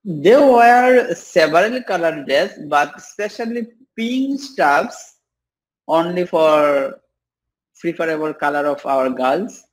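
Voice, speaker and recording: A young man from Bangladesh, practicing English on a video call with many pauses and fillers. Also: a little high, expressive, rough recording. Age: young adult. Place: small room indoors.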